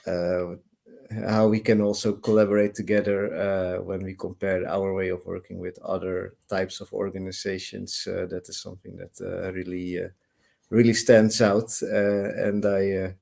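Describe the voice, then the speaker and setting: Man from the Netherlands, gravelly low voice, flat tone, halting with fillers. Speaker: freelancer. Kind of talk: casual self-introduction. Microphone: video call.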